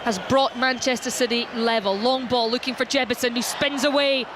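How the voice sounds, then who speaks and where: A man's voice: extremely high pitch, fairly even intonation. A man giving live play-by-play football commentary, calling the action with rising energy. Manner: fast, rising calls over the action. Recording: loud stadium crowd and noise behind.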